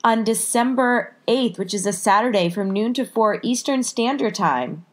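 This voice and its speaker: A woman's voice: fairly high pitch, moderate pace. A woman hosting a podcast, stuffed up with a cold, chatty and upbeat as she introduces the episode. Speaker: podcast host. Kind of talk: casual podcast intro and interview.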